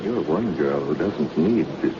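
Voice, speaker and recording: A man's voice: a bass voice, expressive, measured pace. A man playing a courteous, easily flustered newspaper reporter hero, gallant and hesitant, in 1940s radio drama style. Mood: gallant, friendly. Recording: dull, noisy vintage radio recording.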